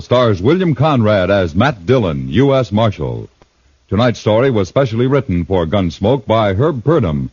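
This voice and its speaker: A man's voice: medium pitch, darkish tone, slightly rough, no room sound. A male announcer reading the closing credits of a 1950s radio drama in a formal, declamatory way. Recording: dull vintage radio recording.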